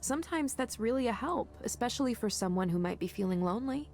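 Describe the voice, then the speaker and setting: A woman voicing a kind, supportive colleague in a visual novel game, speaking in a gentle, reassuring way. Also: a little high, lively intonation, fast talker. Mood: gently supportive.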